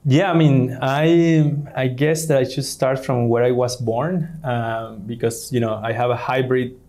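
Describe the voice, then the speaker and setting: A man's voice: medium pitch, fairly even intonation, a little slow, darkish tone. A man from Venezuela, a guest in an interview, talking with many fillers and restarts. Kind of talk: conversational interview.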